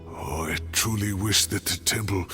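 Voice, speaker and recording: A man playing a frail, kindly old professor in a video game, earnest and gravelly in his speech. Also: fairly low pitch, normal speed, over music, close to an everyday voice. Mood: earnest, pleading.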